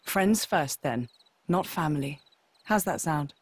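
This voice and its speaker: A woman's voice: sits low, animated, a little slow, husky, heavily acted. A woman playing a kindly, reassuring young warrior in a video game cutscene. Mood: wry, friendly.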